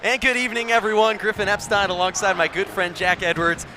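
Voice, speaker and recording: A man's voice: high voice, brisk. An American man doing live basketball play-by-play as an announcer, with an emphatic, projected broadcast delivery. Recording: loud arena crowd noise behind. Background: crowd and basketball bounce.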